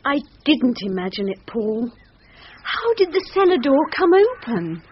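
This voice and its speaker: Dull, noisy radio drama sound carries an anxious wife. She is earnest and insistent, pleading her case in a high voice. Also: big pitch swings, unhurried. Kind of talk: thriller radio drama acting.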